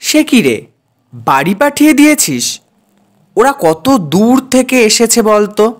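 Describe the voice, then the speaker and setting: A man's voice: high-pitched, very animated, unhurried. A man playing a kind, earnest village doctor who narrates a dramatic cartoon ghost story in the first person. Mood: concerned, dutiful. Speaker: narrator and lead character.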